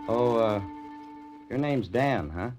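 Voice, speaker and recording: A man playing a gruff, bossy doorman who gives clipped orders in a 1940s-style radio drama. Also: mid-range voice, animated, slow, darkish tone, soft background music. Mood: brusque. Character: gruff, curt male doorman minding a flophouse. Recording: noisy old radio broadcast, faint hiss.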